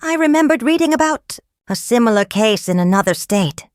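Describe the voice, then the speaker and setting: A woman voicing a gentle, supportive father in a storybook narration, speaking in a reassuring, thoughtful way. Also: a little high, lively intonation, brisk, no room sound, clean studio sound, voice pushed up, lightly acted.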